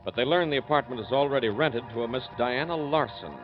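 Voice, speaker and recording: A man's voice: mid-range voice, fast. A man narrating from a script as announcer of a 1940s radio detective serial. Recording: muffled old radio recording. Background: faint music.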